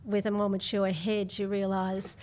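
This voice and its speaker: A woman with an Australian accent talking as an interview guest, reflective and measured as she tells her story. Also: medium pitch, monotone, darkish tone, slight background noise. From Melbourne, Australia. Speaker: podcast guest.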